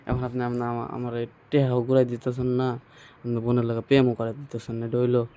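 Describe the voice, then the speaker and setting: A man's voice: medium pitch, completely flat delivery, a little fast, mellow, natural voice. A young man playing a pestering, pleading younger brother-in-law in an amateur skit, with a rural Bangladeshi Bengali accent. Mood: complaining. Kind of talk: amateur skit dialogue, colloquial.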